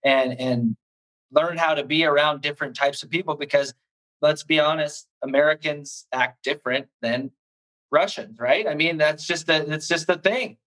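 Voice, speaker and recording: A man hosting a podcast, energetic and hype-filled, often stumbling and restarting his sentences. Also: fairly high pitch, moderate pace, low-quality recording. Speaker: podcast host. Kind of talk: hyped show host intro and interview.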